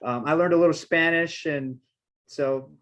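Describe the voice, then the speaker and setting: A woman's voice: low-pitched, measured pace. A woman from Texas co-hosting a podcast, speaking hesitantly with many fillers.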